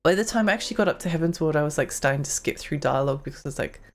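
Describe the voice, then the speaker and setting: A young woman streaming a game, chatting in a rambling way with lots of fillers and giggles, her voice getting hoarse. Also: deep voice, expressive, rapid-fire, faint music behind. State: losing her voice. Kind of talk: casual live-stream chat while gaming.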